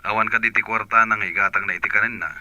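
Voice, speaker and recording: A man playing a concerned, deliberate adult man in a radio drama. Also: fairly low pitch, steady tone, bright, forceful tone, dry recording, highs cut off. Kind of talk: Filipino radio drama acting.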